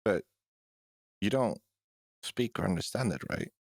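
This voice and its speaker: Low-voiced man, joking podcast co-host. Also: animated, measured pace, creaky, no echo, studio quality. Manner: profane, joking, laughs often. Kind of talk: casual chat.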